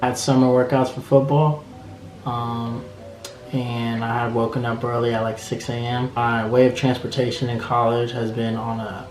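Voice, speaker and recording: A man's voice: medium pitch, monotone, moderate pace. A nineteen-year-old man casually telling a personal story with many fillers. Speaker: interviewee. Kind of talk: personal testimony. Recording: roomy echo with faint music.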